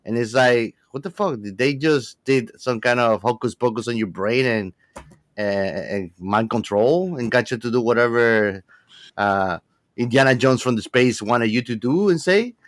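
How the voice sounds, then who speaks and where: A man in a casual film discussion, giving blunt opinions in a halting, wordy way with some swearing. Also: mid-range voice, dry recording. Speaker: podcast co-host discussing a film.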